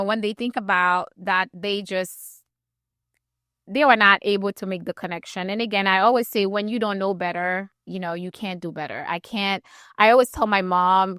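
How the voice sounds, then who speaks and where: A woman from Haiti hosting a podcast interview, animated and conversational. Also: mid-range voice, clear, resonant tone, no room sound, clean studio sound. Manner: animated, acts out quoted voices, warm and conversational. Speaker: podcast host.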